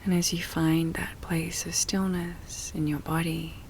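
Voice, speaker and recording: Very slow, soft-spoken woman guiding meditation. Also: lowish voice, flat delivery, slight background noise, poor audio. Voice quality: soft, breathy, often near-whisper. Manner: slow, soothing, with long pauses. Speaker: yoga nidra meditation guide. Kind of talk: guided relaxation meditation.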